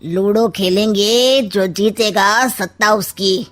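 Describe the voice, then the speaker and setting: A woman voicing a scheming, mocking middle-aged uncle in a comic animated story, with a sly, theatrical drawl. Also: medium pitch, slow pace.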